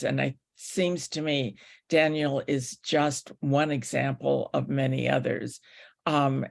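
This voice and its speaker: A man's voice: medium pitch, vocal fry. A man moderating an online meeting, talking informally and adding his own comments. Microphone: video call.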